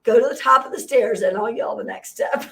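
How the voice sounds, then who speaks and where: Hoarse, scratchy-voiced woman co-hosting a podcast. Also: fairly high pitch, fairly quick, fairly bright tone, distant mic. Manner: laughs often, tells chatty stories. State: hoarse from being sick. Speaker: podcast co-host. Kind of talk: casual live podcast chat.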